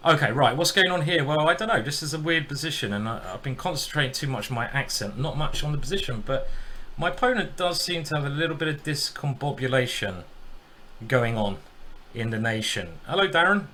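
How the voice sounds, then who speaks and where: Bright-voiced chatty male chess streamer. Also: a little high, animated, a little fast, slight background noise. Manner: chatty, jokey, greets viewers while thinking aloud. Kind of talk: casual live-stream game commentary.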